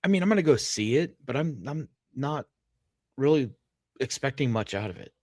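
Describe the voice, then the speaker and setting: A man's voice: medium pitch, lively intonation, moderate pace, a little mellow, dry recording, studio quality. A man co-hosting a podcast, giving blunt, emphatic opinions in casual conversation. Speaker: podcast co-host.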